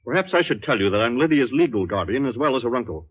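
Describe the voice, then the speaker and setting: An actor playing a middle-aged guardian uncle, formal, condescending and quietly menacing, in 1940s radio drama style. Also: male voice, mid-range voice, expressive, fast, close to an everyday voice. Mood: smooth, dismissive. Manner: formal, condescending, coolly polite. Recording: dull 1940s radio recording.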